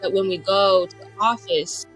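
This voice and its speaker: A woman's voice: mid-range voice, normal speed, soft background music, poor audio. A woman chatting casually out of character as a player in a tabletop roleplaying game.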